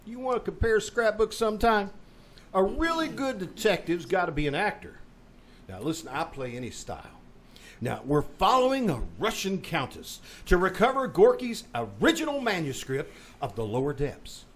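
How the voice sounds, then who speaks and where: A man with an American accent playing a brash, hammy would-be detective in a live old-time radio comedy, pitching himself with pushy, theatrical patter. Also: sing-song, normal speed. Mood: boastful, pushy. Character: brash, fast-talking, hammy male would-be detective sidekick. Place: small theater stage, live performance.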